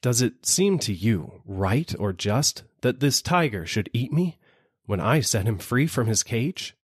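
A man voicing a gentle, kind holy man who pleads desperately for his life in a storybook reading. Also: mid-range voice, very animated, unhurried, slightly rough, no room sound, clean studio sound, pitched above the performer's own voice. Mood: pleading. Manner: pleading, imploring.

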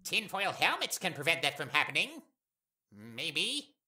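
Woman as a wildly animated goofy skeleton man. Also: deep voice, a little slow, roomy sound, faint music behind, exaggerated voice. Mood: earnest, goofy. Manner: emphatic, earnest. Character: loud, naive, earnest male skeleton. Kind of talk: audio drama acting.

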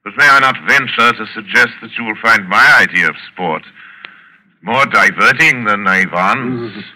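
An actor playing a cultured, sinister general who hunts men, gloating with mock courtesy in theatrical old radio style. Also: male voice, lowish voice, normal speed, bright, forceful tone, low-quality recording. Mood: relishing, taunting. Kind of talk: 1940s radio acting. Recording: old radio drama recording.

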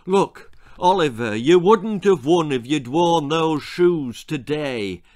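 A man's voice: fairly high pitch, animated, slow, a little mellow. A man voicing a stern, urgent old running coach in a storybook, commanding and emphatic. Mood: firm, insistent.

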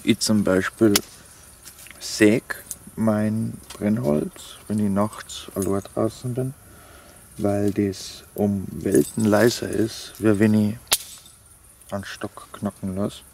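A man's voice: fairly low pitch, fairly even intonation, slow pace, creaky, darkish tone. A man, talking casually to camera and his dog while camping in a forest, rambling with many fillers. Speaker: outdoor vlogger. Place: forest camp at night, outdoors.

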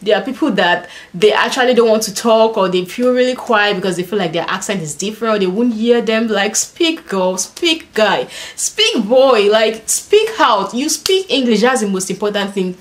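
A young woman with a Nigerian accent, talking casually to camera in a small bedroom, chatty and animated, with lots of "like" and "and stuff.". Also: mid-range voice, normal speed, few pauses. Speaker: vlogger.

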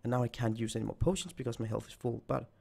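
Man losing his voice, gravelly. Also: mid-range voice, racing, a little mellow. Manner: thinks aloud while typing code. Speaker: programming tutor. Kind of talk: screen-recorded coding tutorial.

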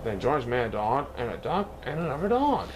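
A man reading a picture book aloud in an expressive way, now and then stumbling over words. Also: mid-range voice, brisk, noisy background. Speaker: children's book reader.

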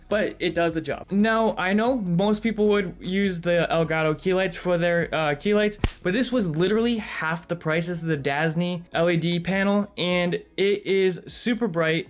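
A man with a higher-pitched voice chatting casually and enthusiastically as he tours his streaming setup, in a slightly echoey home room on a studio microphone. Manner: upbeat, chatty, enthusiastic about gear. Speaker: streamer and video creator. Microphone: studio dynamic mic on arm.

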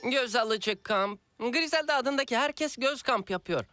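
A man voicing a boastful, playful, loyal cat sidekick in an animated children's cartoon dub, sounding self-pleased. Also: very high-pitched, a little slow. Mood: proud, playful. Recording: loud music under the voice.

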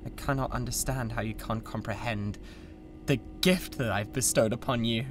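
A woman's voice: rumbling low, fairly even intonation, moderate pace, over music. A British woman playing an unhinged, condescending mad scientist with a god complex in a theatrical ASMR roleplay. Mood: contemptuous, menacing. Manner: theatrical, condescending, swinging between hushed and screaming. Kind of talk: dramatic ASMR roleplay monologue.

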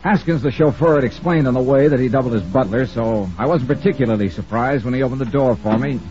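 An American actor playing a wry, self-assured insurance investigator in a 1950s radio drama. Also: male voice, medium pitch, a little mellow, natural voice. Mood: narrating. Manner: wry, brisk, crisply emphatic. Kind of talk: 1950s radio drama dialogue and first-person narration. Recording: muffled old radio recording, faint music.

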